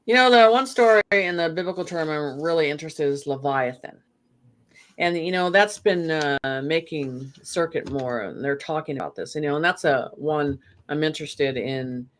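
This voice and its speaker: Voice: medium pitch, moderate pace, a bit bright. Rambling guest full of "you know". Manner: meandering, many "you know" fillers. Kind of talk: rambling spiritual conversation.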